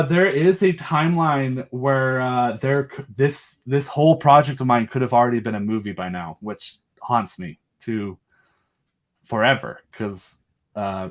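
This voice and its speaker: A man with an average-pitched voice talks as a guest in a casual interview. He is enthusiastic and rambles along conversationally. His delivery is jokey, with dramatic emphasis on certain words. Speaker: guest, creator of a giant monster audio drama.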